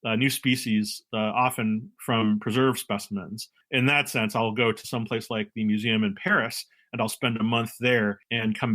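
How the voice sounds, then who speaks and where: Middle-aged man from California, bright tone, measured interview guest. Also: medium pitch. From San Francisco, California. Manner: measured, explanatory, with frequent uh and um. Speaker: marine biologist guest. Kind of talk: relaxed interview talk.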